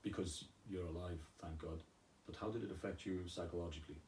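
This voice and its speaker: A man interviewing a guest, asking questions in a halting way and often rephrasing them. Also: low-pitched, fairly even intonation, darkish tone. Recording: echoey room, distant mic, faint hiss.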